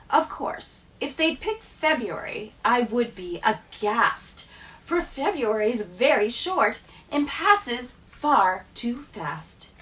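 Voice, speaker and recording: A woman teacher reading children's poems aloud with a deliberate, expressive storytelling delivery. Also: fairly high pitch, recorded from a distance, low-quality recording.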